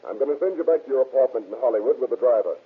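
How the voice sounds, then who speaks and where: Brisk, raspy police detective. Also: male voice, mid-range voice, expressive. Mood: falsely conciliatory. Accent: American. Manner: brisk, insistent, matter-of-fact. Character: shrewd, hard-nosed police detective boss interrogating suspects. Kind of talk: 1930s radio crime drama acting. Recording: muffled, raspy old radio recording.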